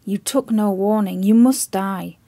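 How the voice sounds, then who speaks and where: A woman voicing a terrified young sailor in a spoken story, pleading loudly and desperately. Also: mid-range voice, unhurried, dry recording. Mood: grim warning. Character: terrified young sailor driven half mad, male.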